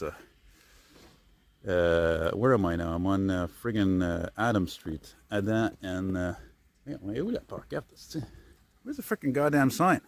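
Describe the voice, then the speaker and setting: An older man with a deep baritone voice, chatting as he vlogs on a walk through snowy city streets. He meanders and mutters, with mild swearing and self-deprecating asides. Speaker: vlogger. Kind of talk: rambling walking vlog. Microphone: phone on a selfie stick.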